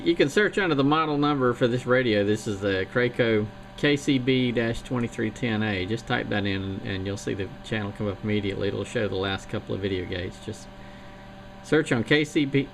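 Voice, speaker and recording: A man's voice: medium pitch, fairly quick, creaky. A man chatting casually and rambling a bit as he talks on a CB radio. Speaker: CB radio operator. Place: home radio station. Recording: noisy radio transmission.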